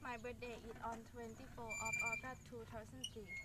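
A woman's voice: a little high, flat delivery, brisk, very noisy. A teenage girl, a student, reciting basic English answers outdoors in a park. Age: teens. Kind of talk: scripted classroom English dialogue.

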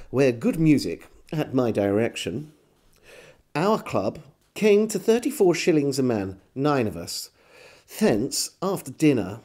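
A man, reading an old diary aloud as a storyteller, measured and theatrical with deliberate pauses. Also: medium pitch, dark tone. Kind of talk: expressive literary diary reading. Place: small home room with bookshelves.

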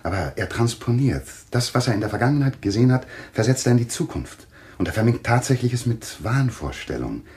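An actor as a self-assured, animated doctor. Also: male voice, medium pitch, a little fast, close to an everyday voice. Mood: clinical, confident. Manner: authoritative, clinical, reassuring. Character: self-assured, soothing male doctor taking charge. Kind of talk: German radio play acting.

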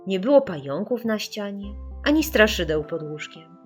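A woman narrating a children's audiobook in an expressive, scripted storytelling style. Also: mid-range voice, moderate pace. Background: music.

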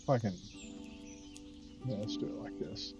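A man's voice: fairly low pitch, fairly even intonation, moderate pace, loud music behind the voice. A middle-aged man giving casual, offhand gameplay commentary as a streamer, in muttered asides. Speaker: gaming streamer. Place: indoor room.

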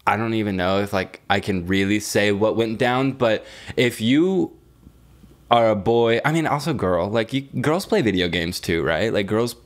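A man's voice: lowish voice, moderate pace. A young adult man co-hosting a podcast in casual chat, telling stories with slang, swearing and a teasing manner. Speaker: podcast co-host.

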